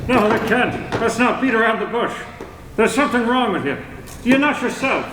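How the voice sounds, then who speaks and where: A man's voice: very high voice, animated, moderate pace, a bit bright. A man playing a blunt, no-nonsense newspaper editor in a 1940s radio serial style. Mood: confrontational. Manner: blunt, direct. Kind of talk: 1940s radio serial acting performed live. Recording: echoey room, faint noise.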